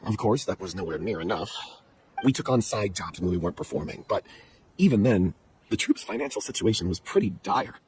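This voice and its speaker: Game role: male actor as remorseful, timid troupe man, earnest delivery with wide pitch movement, some background noise. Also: lively intonation, fairly quick, darkish tone, natural voice. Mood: confessing. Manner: earnest, hesitant confessing. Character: guilt-ridden, cowardly male theatre troupe member. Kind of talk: dramatic video game acting.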